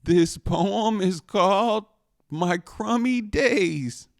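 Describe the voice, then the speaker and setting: Man plays a little schoolgirl cookie for a picture book: raised pitch, earnest, unsure of herself, emphatic. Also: very slow, creaky, no room sound, studio quality, full character voice. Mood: nervous. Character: earnest, self-doubting young female cookie pupil.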